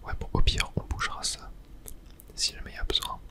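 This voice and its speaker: A gamer speaks entirely in a soft, breathy whisper. The delivery is ASMR gameplay commentary, casual and muttered, thinking aloud in slangy little asides. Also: a little fast. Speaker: video game streamer narrating own play.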